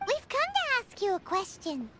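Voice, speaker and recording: A woman voicing a tiny, cheeky, excitable fairy-like companion girl in a video game, with a squeaky childlike voice. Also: normal speed, very noisy, heavily acted. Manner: speaks of herself in third person, exclamatory. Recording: loud music behind the voice.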